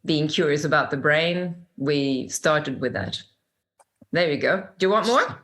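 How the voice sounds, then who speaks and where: Older Swedish-accented woman, low voice, warm reflective storyteller; interview guest. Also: animated, unhurried. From Sweden.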